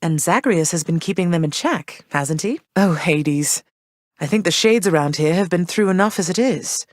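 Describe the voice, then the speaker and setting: An actress voicing a gentle, motherly goddess queen of the underworld, speaking warmly and graciously in game voice lines. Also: lowish voice, lively intonation, moderate pace, dry recording, close to an everyday voice. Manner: warm, gracious, softly reassuring. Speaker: voice actor. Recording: dry studio voice recording.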